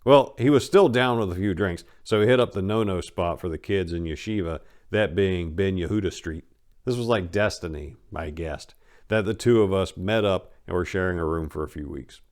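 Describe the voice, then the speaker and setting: A man's voice: low-pitched, moderate pace, a little mellow. A man hosting and narrating a story in an informal, conversational, dramatic reading style. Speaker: storyteller and channel host.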